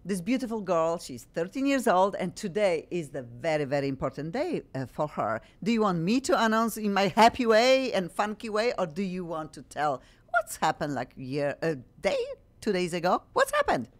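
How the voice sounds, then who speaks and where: A woman's voice: lowish voice, sing-song, normal speed. A woman from Poland with a Polish accent, hosting a radio talk show in bubbly, gushing, loosely worded English. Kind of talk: casual studio talk show.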